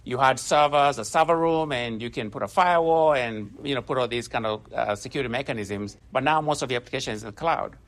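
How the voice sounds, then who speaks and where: A man's voice: medium pitch, brisk, few pauses, fairly bright tone. A man in his forties, originally from Kenya, giving a conversational conference talk from the stage, often restarting phrases and using fillers. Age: 40s. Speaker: conference speaker. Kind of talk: conference presentation.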